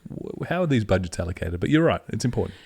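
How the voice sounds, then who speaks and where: Brief: deep-pitched male podcast co-host, from Syria, teasing banter, dry studio sound. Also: very animated, quick pace, gravelly, darkish tone, slightly rough, no echo. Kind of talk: casual chat.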